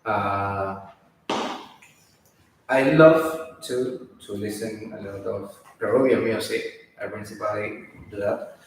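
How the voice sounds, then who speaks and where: A man from Peru in a band, speaking English in an unhurried way and trailing off between thoughts. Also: on the low side. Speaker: band member. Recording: echoey room, far from mic, faint noise.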